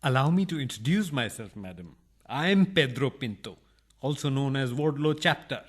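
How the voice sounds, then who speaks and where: An actor playing a cheeky, indignant burglar in a farcical radio play, protesting politely and taking things comically literally. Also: male voice, medium pitch, expressive, moderate pace, slightly rough. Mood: indignant, proud.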